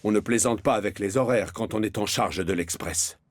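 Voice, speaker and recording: A man's voice: on the low side, moderate pace, dry recording. A man reading scripted storytelling narration for a children's cartoon. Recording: music behind narration.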